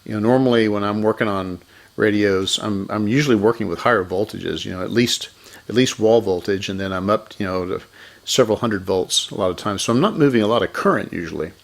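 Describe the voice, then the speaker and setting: A man talks in a fairly low voice with plenty of vocal fry. He explains hands-on repair work without a script. He reasons step by step and corrects himself along the way. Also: fairly quick. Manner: methodical, thinking aloud with self-corrections. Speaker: electronics repair host. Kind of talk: unscripted hands-on repair commentary.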